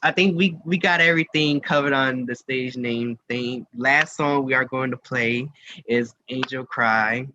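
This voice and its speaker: Gushing man host, bright voice, lo-fi sound. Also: medium pitch, measured pace. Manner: gushing, effusive. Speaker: radio host interviewing a musician. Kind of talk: casual music interview chat. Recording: dull lo-fi audio with faint noise.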